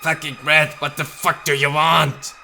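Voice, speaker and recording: A man's voice: a little high, little pitch movement, unhurried, creaky, pushed and bright, slight background noise, voice pushed up, exaggerated voice. A man voicing a hostile, foul-mouthed old war veteran in a visual novel, cursing angrily. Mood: furious, hostile. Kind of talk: voiced visual novel dialogue.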